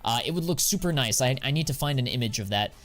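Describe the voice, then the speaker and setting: A young American man chatting casually on a live stream, answering viewers in a relaxed, slangy way. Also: medium pitch, fast. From United States. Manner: slangy and laid-back, drawls filler words.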